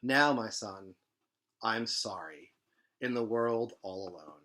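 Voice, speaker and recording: A man's voice: on the low side, animated, slow, sharp tone, full character voice. A man reading a storybook part as a dying father, gasping regretful last words to his son in broken phrases. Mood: regretful, fading.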